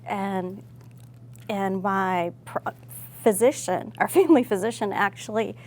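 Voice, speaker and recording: A woman's voice: medium pitch, a little slow, a little mellow. A middle-aged woman from Chicago with an American accent, casually telling stories and correcting herself as she goes in an interview. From Chicago, United States. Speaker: hospital pharmacist. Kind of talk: casual conversational interview answers.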